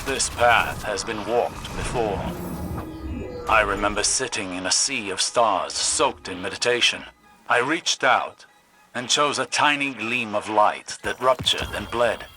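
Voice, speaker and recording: An actor playing a solemn, haughty mystic mentor who sees through time in a video game, speaking in formal, measured, grandiose language. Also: male voice, lowish voice, bright, forceful tone. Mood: solemn, haunted. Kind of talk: video game story dialogue. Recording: music bed under the voice. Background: music.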